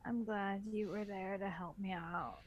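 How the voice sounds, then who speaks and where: A woman takes her part in a group story reading, then cuts in with blunt, mocking remarks. Her pitch is average for a woman, and there is faint noise behind her. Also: fairly even intonation, a little slow, lo-fi sound. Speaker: book club co-reader. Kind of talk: dramatic reading with joking commentary.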